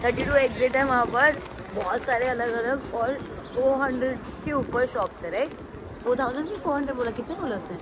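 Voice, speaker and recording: A woman in her twenties with an Indian accent, chatting casually and excitably to her phone as a travel vlogger, switching into English at times. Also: high-pitched, a little fast. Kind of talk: casual vlog chat mixing Hindi and English. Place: outdoors on city streets and terrace. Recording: noisy crowded outdoor city, traffic and ambience.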